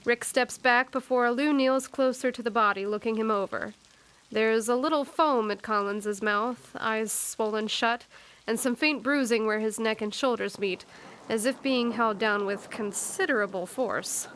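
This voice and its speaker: A woman's voice: a little high, unhurried, fairly bright tone. A woman narrating an audio drama, scripted storytelling broken by informal asides.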